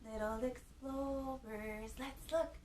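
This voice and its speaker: A woman hosting storytime for young children, reading a picture book aloud in an expressive, gasping manner and putting questions to her little listeners. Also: high voice, slow pace. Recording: echoey room with faint background noise.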